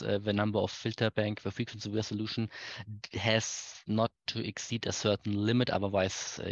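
A man with a fairly low voice delivers a technical keynote over a video call. He explains step by step in hesitant, halting phrases. The sound is close and dry but somewhat muffled. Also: no room sound. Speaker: conference speaker. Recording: dull, muffled lo-fi online-call audio.

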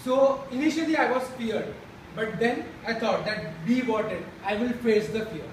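A man's voice: extremely high pitch, normal speed. A young man who stammers and restarts words, giving a personal speech to a support group in a classroom. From India. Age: young adult. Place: classroom with a chalkboard. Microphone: far camera. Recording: echoey room from far off, background noise.

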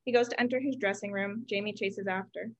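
A woman's voice: medium pitch, fairly even intonation, a little fast. A young woman reading stage directions as scripted narration over a video call. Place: room at home, indoors. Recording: dull lo-fi video call audio.

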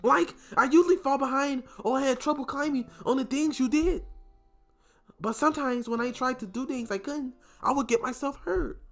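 A man voicing a bubbly, clumsy teenage anime schoolgirl in a high falsetto with a sweet, childlike, sing-song delivery. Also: moderate pace, a bit bright, faint music behind. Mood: wistful.

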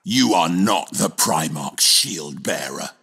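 Husky, surly lone warrior. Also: male voice, a bass voice, lively intonation, slow, pushed and bright, exaggerated voice. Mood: surly, defiant. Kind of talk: game voice lines, dramatic acting.

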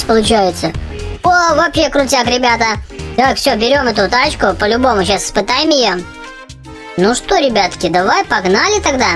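A gaming channel host giving casual, exclamatory gameplay commentary for children, addressing viewers as friends. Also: medium pitch. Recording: music behind the voice.

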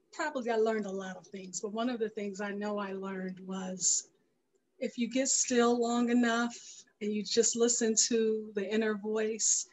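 A middle-aged woman giving reflective interview answers in a thoughtful way, pausing mid-phrase as she goes. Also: medium pitch, poor audio. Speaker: interview guest, filmmaker and radio show creator. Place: indoor room, video call. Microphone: headset on video call.

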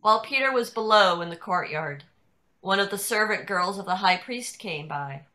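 An older woman reading scripture narration in a measured, deliberate way over a video call. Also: mid-range voice, animated, sharp tone. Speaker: narrator in a church dramatic reading. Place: indoors at home on a video call. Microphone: video call computer microphone.

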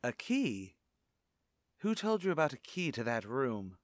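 A man's voice: mid-range voice, big pitch swings, unhurried, dry recording, studio quality. A man playing a brooding, secretive widowed father in formal, grave, melodramatic old-time radio suspense style. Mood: grave, confiding. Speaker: radio drama actor.

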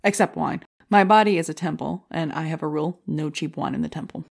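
A woman's voice: low voice, a little fast, creaky, darkish tone, husky, exaggerated voice. An actress playing a brash, self-deprecating female stand-up comic, delivering jokey rhetorical setups and punchlines in an audio drama. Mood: wry, playful. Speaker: comedy contest contestant.

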